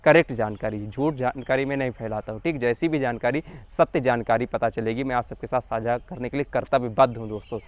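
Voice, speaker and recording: A man's voice: medium pitch, a little fast, hardly any pauses. A young man from hosting his own channel, casually explaining exam news to his viewers in a chatty, repetitive way. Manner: chatty, repetitive, addresses viewers as friends and brother. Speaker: YouTube channel host.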